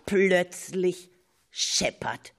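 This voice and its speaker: A woman's voice: fairly low pitch, lively intonation, drawn-out words. A woman narrating a children's story expressively, with playful asides.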